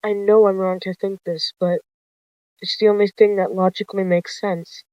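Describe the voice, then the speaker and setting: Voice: mid-range voice, flat delivery, normal speed, a little mellow, no room sound, close to an everyday voice. A narrator voicing a protective male cat deputy in an animal roleplay story, insistent and confrontational. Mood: suspicious. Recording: dull lo-fi close dry recording.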